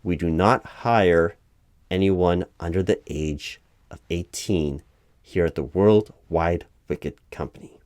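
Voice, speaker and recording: Guy doing a flustered corporate recruiter for asmr interview roleplay, lowish voice, really dry recording, halting and over-explains everything. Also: darkish tone, dry recording. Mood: puzzled, defensive. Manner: halting, repetitive, officious, over-clarifying. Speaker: job interviewer. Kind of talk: one-sided ASMR interview roleplay, spoken aloud.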